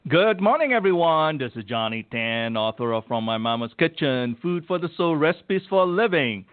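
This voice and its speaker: A man hosting a radio talk show, introducing a guest and interviewing him in a formal, measured way. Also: on the high side, very animated. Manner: formal, warmly measured delivery. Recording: tinny phone line.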